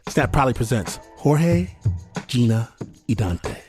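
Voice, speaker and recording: A man hosting a storytelling show, narrating from a script in a theatrical way with deliberate dramatic pauses. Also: medium pitch, expressive, a little mellow. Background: music bed.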